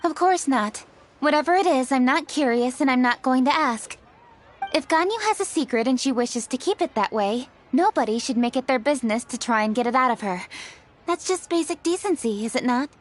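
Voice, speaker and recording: A woman voicing a proper, principled young noblewoman in a video game, speaking in a crisp, formal, matter-of-fact way. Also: sits high, expressive, a little fast. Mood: firm, proper.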